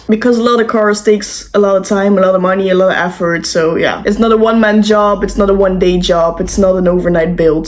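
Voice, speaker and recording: Dutch-accented woman explaining car repair. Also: mid-range voice. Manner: earnest step-by-step explaining. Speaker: car vlogger. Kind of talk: casual explanatory vlog.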